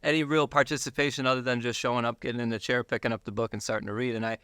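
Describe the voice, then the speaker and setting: An American man talking casually as an interview guest, sharing personal testimony in a halting way, repeating words and checking for agreement. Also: mid-range voice, level tone, a bit bright, clear, resonant tone, no room sound, studio quality. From Buffalo, United States.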